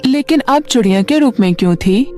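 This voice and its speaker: An eager, kind-hearted little schoolgirl from a storybook, voiced by a woman, speaks politely in a high, childlike voice. Music plays underneath. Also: lively intonation, a little fast, dark tone, lightly acted. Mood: amazed.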